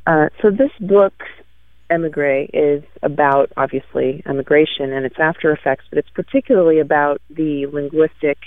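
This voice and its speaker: A man talking about his work in a halting, thoughtful way, with many fillers, as a guest on an interview show, heard over a tinny line. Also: fairly high pitch, dry recording. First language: French. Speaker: guest author. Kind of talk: casual interview chat. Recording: tinny phone-like radio line.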